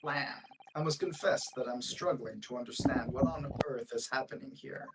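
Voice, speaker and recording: A man's voice: mid-range voice, normal speed, natural voice. A man voicing a pompous, indignant judge in a Victorian courtroom, speaking formally in a video game fan dub. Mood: stern, puzzled. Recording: distant mic with faint noise.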